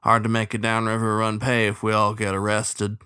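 A man voicing a dry, sarcastic male heron who speaks in a monotone drawl. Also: lowish voice, normal speed, clean tone, no echo. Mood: deadpan.

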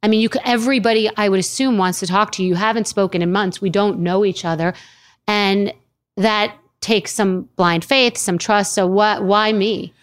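A woman's voice: mid-range voice, fairly even intonation, moderate pace, clear, resonant tone. A woman hosting a podcast interview, firing off eager questions that stumble and restart. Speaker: podcast host. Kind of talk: interviewing a guest.

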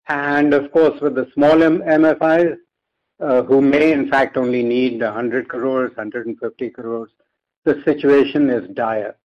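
Indian man, halting, on a dull call line. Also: medium pitch, a little mellow. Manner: halting, measured, deliberate phrasing. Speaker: industry expert guest. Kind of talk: conference call answer, explanatory. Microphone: conference call line. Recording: dull lo-fi conference call audio.

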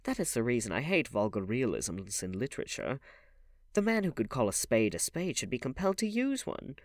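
A man plays an arch storybook aristocrat, witty and languid. The intonation swoops theatrically and often lifts well above a narrating pitch, in a dry recording. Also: sing-song, brisk, no room sound. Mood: arch, amused. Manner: arch, epigrammatic, theatrical emphasis. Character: witty, languid English aristocrat, playfully paradoxical gentleman.

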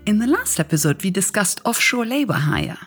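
A woman hosting a podcast, introducing the episode and asking curious, brisk questions. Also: mid-range voice, very animated, soft background music. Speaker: podcast host. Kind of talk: hosting and interviewing.

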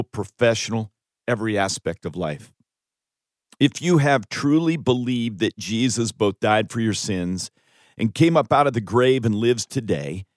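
A man's voice: medium pitch, dry recording, studio quality. A man reading a scripted first-person audiobook testimony with earnest, dramatic emphasis. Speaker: author reading own audiobook.

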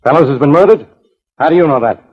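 Grumpy old-radio police inspector, barky and impatient, husky, pitched a bit high, muffled lo-fi recording. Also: male voice, expressive, quick pace. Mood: impatient, suspicious. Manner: blustering, impatient, barking orders. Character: gruff, irritable, easily baited male police inspector. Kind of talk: 1940s radio detective drama acting. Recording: dull lo-fi 1940s radio recording.

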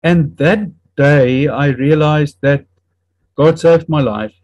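A man in his fifties whose first language is Afrikaans, speaking with an Afrikaans accent and earnestly recounting a personal testimony in a halting way, often searching for words and restarting. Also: on the high side, darkish tone, dry recording, dull audio. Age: 50s. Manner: earnest and reflective. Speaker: interview guest.

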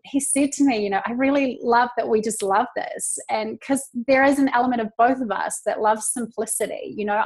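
A woman in her thirties from New Zealand, with a New Zealand accent, talking reflectively and chattily as a podcast guest, with many fillers. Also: a little high. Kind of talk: casual podcast conversation.

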